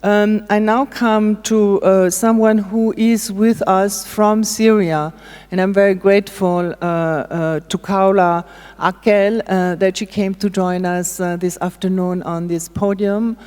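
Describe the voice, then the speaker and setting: A woman's voice: medium pitch, fairly even intonation, unhurried, darkish tone, clear, resonant tone. A woman moderating a conference panel, introducing speakers with frequent hesitations and filler sounds. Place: conference hall. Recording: reverberant conference hall.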